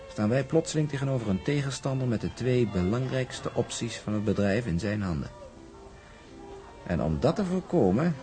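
A confident man of the shipping trade in a television drama. His low voice moves in lively swings as he lays things out with measured emphasis. Faint music sits behind him. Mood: self-assured. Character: confident shipping man briefing a woman about a rival.

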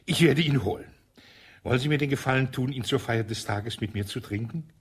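An actor playing a flustered, newly widowed colonel in a radio drama who keeps slipping into glee. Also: male voice, medium pitch, a little fast, slightly rough, lightly acted. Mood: jovial. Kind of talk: 1960s radio drama acting.

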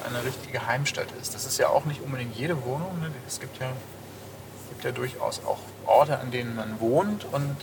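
A man's voice: mid-range voice, normal speed, vocal fry. A man talking casually and reflectively, hesitantly rephrasing as he thinks. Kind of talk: casual reflective interview talk. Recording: distant mic, loud traffic noise.

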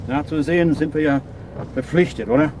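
A man in a radio drama playing a weary, gruff, matter-of-fact London police superintendent. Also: on the high side, a little fast, natural voice. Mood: gruff, unimpressed. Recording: noisy urban street ambience.

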